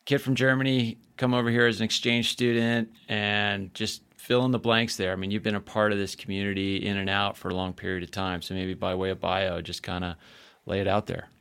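A man's voice: on the low side, level tone, fairly quick, fairly bright tone, smooth, no room sound. A man hosting a podcast, introducing his guest in an upbeat, chatty way with many fillers. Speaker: podcast host. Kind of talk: casual podcast interview.

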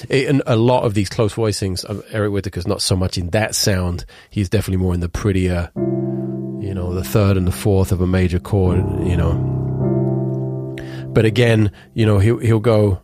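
A lowish-voiced man in his thirties, bunged up with a cold, wanders through a casual lesson on chord voicings with plenty of fillers and restarts. A piano plays loudly under his speech throughout. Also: fairly even intonation, normal speed. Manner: rambling, restarts and fillers, occasional hummed notes. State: congested with a cold. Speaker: musician teaching composition. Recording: piano playing loudly in the mix.

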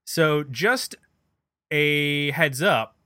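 A man's voice: on the high side, animated, drawn-out words, pushed and bright, no echo, studio quality. A middle-aged man chatting casually as the host of a music podcast.

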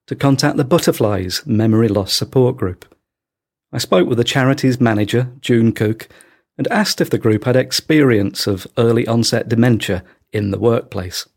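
A man who is a news editor and host, reading scripted credits and asking interview questions in a steady, informative way. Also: mid-range voice.

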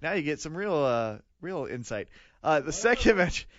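A man's voice: fairly high pitch, sing-song, normal speed, a bit bright, dry recording, muffled recording. A man in his late twenties co-hosting a podcast, rambling and joking in casual chat. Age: 20s. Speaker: podcast co-host.

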